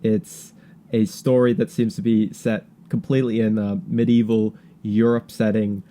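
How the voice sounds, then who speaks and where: Low-pitched, mellow man talking politics casually. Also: little pitch movement, normal speed. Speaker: podcast host.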